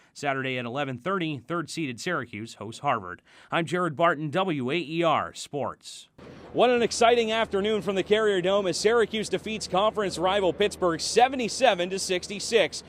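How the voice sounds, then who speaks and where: A man's voice: fairly high pitch, fairly bright tone. A young adult man working as a sports announcer and anchor, delivering commentary and news.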